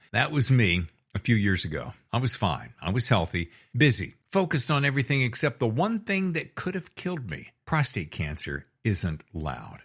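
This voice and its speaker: Lively, low-pitched man reading a promo. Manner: blunt, direct address with wry humour. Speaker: author promoting his own book. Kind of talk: scripted promotional narration.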